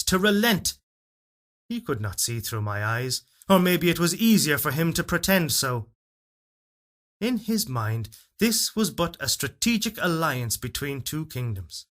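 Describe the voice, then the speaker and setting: A man reading a literary fairytale as narrator, in a solemn, measured and expressive storytelling delivery. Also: medium pitch. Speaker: story reader. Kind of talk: dramatic literary narration of a first-person fairytale.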